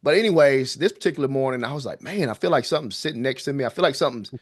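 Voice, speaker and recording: A man guest on a podcast, telling a personal story in an easygoing, drawn-out way. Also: mid-range voice, expressive, slightly rough. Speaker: guest, filmmaker. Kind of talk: casual storytelling.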